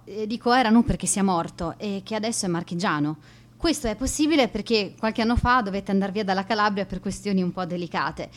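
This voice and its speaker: A woman's voice: medium pitch, natural voice. Wry, lonely outcast schoolgirl, played by a woman. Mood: wry, explanatory. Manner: confiding, self-deprecating, wry. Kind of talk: radio drama monologue.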